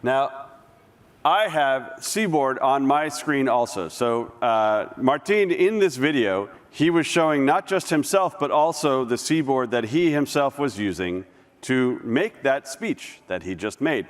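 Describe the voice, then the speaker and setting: A man presenting a live software demo on stage, talking casually and restarting sentences as he clicks through. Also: mid-range voice, lively intonation, a little slow. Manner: conversational, with restarts while demoing. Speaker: conference presenter. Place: large conference hall stage. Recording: long reverb of a large hall.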